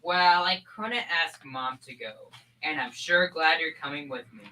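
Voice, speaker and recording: A man's voice: fairly high pitch, measured pace, sharp tone, poor audio. A young male performer playing an eager, needling son with slangy boyish talk in a school old-time radio comedy. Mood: pleading. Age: young teen. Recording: faint background noise.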